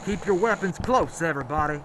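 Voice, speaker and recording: A man's voice: fairly high pitch, lively intonation, a little fast, noisy background, natural voice. A man playing a decisive, cautioning leader of a travelling crew in a post-apocalyptic audio drama. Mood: alert, measured.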